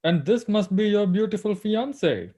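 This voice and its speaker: Male actor as uneasy secret agent, thirties, expressive lively swings, polite, table-read drama. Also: high-pitched, moderate pace, breathy edge. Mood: friendly. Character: uneasy thirty-something male agent, torn between duty and friend.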